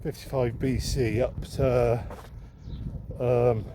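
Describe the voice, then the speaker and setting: A man's voice: mid-range voice, level tone, takes it slowly, mellow. A man talking casually and unscripted, thinking aloud in a hesitant, rambling way as he records a vlog while walking outdoors. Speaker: second-hand bookseller and vlogger. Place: outdoors, walking along a town street. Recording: outdoor street noise with passing traffic. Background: street ambience and vehicles.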